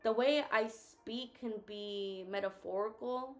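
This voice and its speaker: Slow, tired woman, native Spanish speaker. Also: fairly high pitch. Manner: thoughtful, searching for words. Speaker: co-host. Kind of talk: casual reflective chat.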